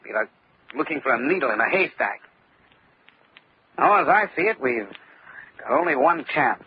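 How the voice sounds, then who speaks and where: An actor playing a confident, brisk male insurance investigator in an old-time radio drama, with a clipped, commanding manner. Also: mid-range voice, expressive, husky. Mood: determined. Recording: muffled vintage radio recording.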